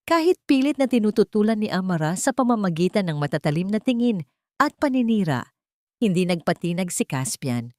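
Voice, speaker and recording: A woman's voice: medium pitch, big pitch swings, a little fast, darkish tone, no room sound, studio quality. A woman narrator reading a dramatic scripted audio story.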